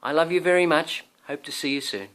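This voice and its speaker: A man reading a picture book to his young son, expressive, with coaxing asides to the child. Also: on the high side, fairly quick, low-quality recording. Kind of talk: animated storybook read-aloud.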